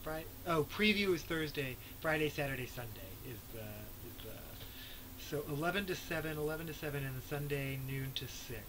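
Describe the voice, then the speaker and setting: A man's voice: on the high side, normal speed, noisy background. A man hosting a casual chat, rambling along and correcting himself as he goes, recorded on a webcam in a small room. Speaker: host. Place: small room indoors at home. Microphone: webcam at arm's length. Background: guitar music.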